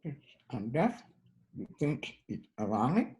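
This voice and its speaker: Guy acting a quiet gentle bus driver in a drama read, slow, understated, voice moves up and down a lot. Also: medium pitch, sing-song. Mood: quiet, reflective. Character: Ricky, gentle reserved male band bus driver. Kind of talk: screenplay table read.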